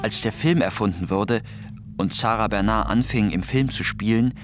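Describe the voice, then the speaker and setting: A man's voice: fairly low pitch, moderate pace. A man narrating a scripted documentary piece. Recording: music under the voice. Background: music.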